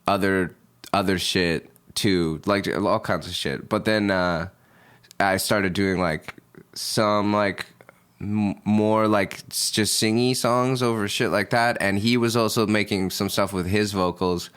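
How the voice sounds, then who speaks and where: A man's voice: fairly low pitch, fairly even intonation, a little slow, fairly bright tone, smooth. A young man, a musician guest in a casual interview, talking in a laid-back, rambling way full of fillers.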